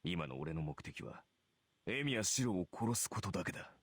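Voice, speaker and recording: A man playing a cold, stern, resolute warrior in a Japanese visual novel, with a grave, deliberate delivery. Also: low-pitched, animated, husky, no room sound.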